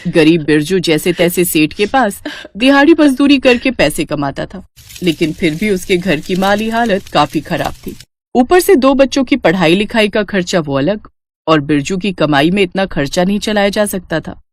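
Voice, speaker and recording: A woman's voice: lowish voice, animated, moderate pace, dry recording, studio quality. A woman narrating a scripted moral story as a storyteller.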